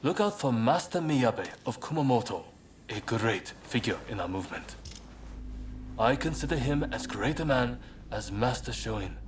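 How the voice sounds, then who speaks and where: An actor playing a composed, resolute samurai rebel leader in a video game, speaking in a guarded, formal, measured way. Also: male voice, mid-range voice, expressive, background noise, close to an everyday voice. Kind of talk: video game cutscene voice acting. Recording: dramatic music behind the voice. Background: game score.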